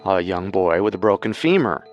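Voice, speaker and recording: A man's voice: low-pitched, expressive, moderate pace, dull audio. A man co-hosting a scripted true-crime show as narrator, with music underneath. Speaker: co-host and narrator.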